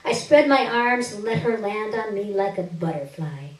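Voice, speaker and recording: A woman giving an expressive, deliberate reading of first-person literary fiction on stage, with drawn-out phrases. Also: mid-range voice. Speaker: reader of a short story on stage. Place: indoor stage, live reading. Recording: roomy stage recording, distant microphone.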